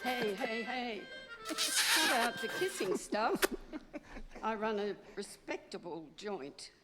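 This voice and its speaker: A woman's voice: on the high side, sing-song, slow, over loud music. A woman playing a grumbling, no-nonsense diner counter worker in an old-time style radio play. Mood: scolding. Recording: echoey hall, far off.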